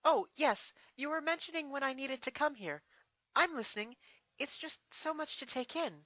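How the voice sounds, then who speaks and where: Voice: high-pitched, moderate pace, pushed and bright, dry recording, voice pushed up, low-quality recording. A performer voicing an earnest, eager young female pony princess in a fan fiction reading. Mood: flustered.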